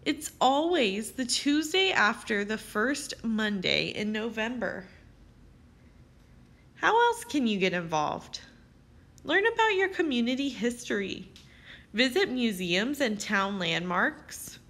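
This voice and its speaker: A woman reading aloud as a teacher, with expressive, carefully phrased delivery aimed at young children. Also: on the high side, slow pace. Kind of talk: children's read-aloud narration.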